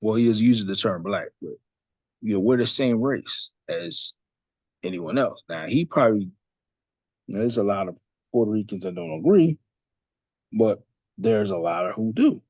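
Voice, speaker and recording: A low, husky man talks through a religious study lesson in a loose, easygoing way, with plenty of fillers and side remarks. The sound is dry and close, with no room around it. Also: lively intonation, moderate pace, pauses often, darkish tone, no echo. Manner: loose, conversational, many fillers and asides. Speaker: religious teacher leading a study discussion. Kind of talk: casual religious study talk.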